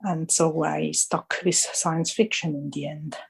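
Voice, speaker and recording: A woman's voice: low-pitched, fairly even intonation, measured pace, vocal fry, slightly rough. A woman interviewed as a guest editor, answering thoughtfully and hesitantly, often restarting her phrases.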